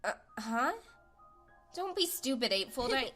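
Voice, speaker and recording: A woman's voice: fairly high pitch, very animated, a little slow, sharp tone, faint music behind, full character voice. Woman as a brash, cocky young cartoon girl. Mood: defensive. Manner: brash, offhand, casual slang. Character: tough, cocky, slangy young girl spirit fighter. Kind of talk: comic dub voice acting.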